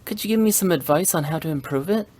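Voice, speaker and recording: A man's voice: a little high, expressive, fast talker, darkish tone. An actor playing an earnest, modest young soldier boy in a video game, polite and unsure of himself. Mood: earnest, flustered. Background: music.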